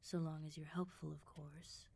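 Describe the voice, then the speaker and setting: Girl doing a vampire mafia boss lady for asmr, low n velvety soft dark voice, polite but threatening, kinda amused. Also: little pitch movement, creaky, breathy edge, heavily acted. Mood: silky, polite menace. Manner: polite, teasing, threatening, unhurried control. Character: suave, menacing female vampire mob boss, coolly amused. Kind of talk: ASMR roleplay acting.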